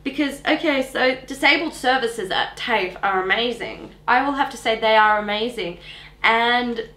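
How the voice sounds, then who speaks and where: A woman's voice: a little high, moderate pace, bright, forceful tone, roomy sound, low-quality recording. A woman in her twenties with an Australian accent, chatting casually and bluntly, with colloquial opinions. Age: 20s.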